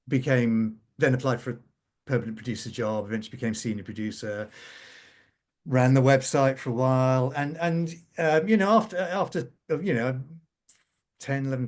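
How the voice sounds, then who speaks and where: A middle-aged or older man, a scriptwriter, telling anecdotes informally on a panel, repeating and restarting his phrases. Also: mid-range voice. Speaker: radio drama scriptwriter on a panel. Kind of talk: informal anecdotal conversation at a conference.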